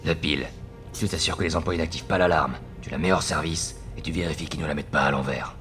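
Man acting a very deep-voiced gang boss. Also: fairly even intonation, quick pace, a bit bright, soft background music. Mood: commanding. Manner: authoritative, matter-of-fact, slangy. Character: calm, commanding male gang leader planning a robbery. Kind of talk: audio drama acting.

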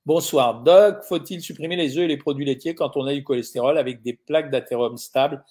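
A man's voice: medium pitch, fairly quick, a little mellow, breathy edge. A middle-aged man, a nutritionist answering viewer questions in a casual live session, reading comments aloud and replying bluntly with many fillers. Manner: informal, blunt, uses tu with viewers, frequent euh.

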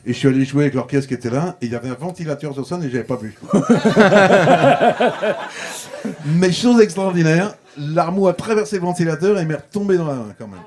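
A man in his sixties with a Parisian accent, a blues harmonica player telling animated, laughing anecdotes as a guest in a casual radio interview. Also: fairly high pitch, brisk, pauses often. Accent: Parisian French.

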